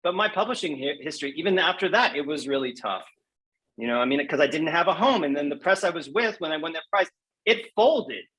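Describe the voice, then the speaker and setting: A man's voice: fairly high pitch, brisk, breathy edge, rough recording. Candid, self-deprecating man in conversation. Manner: self-deprecating, animated retelling with quoted asides. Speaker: guest poet. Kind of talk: candid conversational interview answers.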